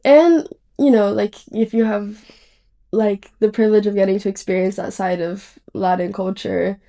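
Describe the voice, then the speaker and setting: A woman hosting a podcast in a casual, expressive chat, with slangy asides. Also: mid-range voice, normal speed. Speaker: podcast host.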